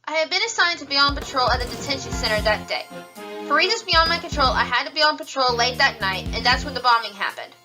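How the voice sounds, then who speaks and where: Casting: woman as earnest young bunny officer, high voice, formal, fast delivery, courtroom audio drama. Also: animated, over music. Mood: earnest testimony. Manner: formal, assertive. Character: young female rabbit police officer, brisk and earnest. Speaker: voice actor in a fan audio drama.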